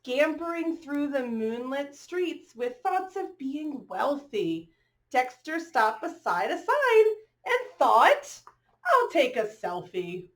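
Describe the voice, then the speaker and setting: Female storybook reader for kids: high voice, very lively intonation, draws out lines and checks in with listeners. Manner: expressive, drawn-out reading with questions to children. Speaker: teacher reading aloud to children. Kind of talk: storybook read-aloud with asides to young listeners.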